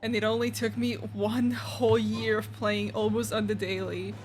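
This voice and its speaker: A woman's voice: mid-range voice, flat delivery, normal speed, faint music behind. A woman giving casual, slangy, conversational reactions as she streams a video game. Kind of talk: casual live gameplay reaction commentary.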